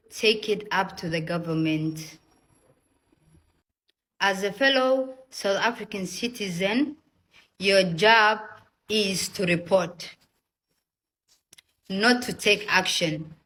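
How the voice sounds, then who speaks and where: A woman speaking directly to listeners in an earnest, pleading and emphatic appeal, choosing her words deliberately. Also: medium pitch, fairly bright tone. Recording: noisy, with background ambience.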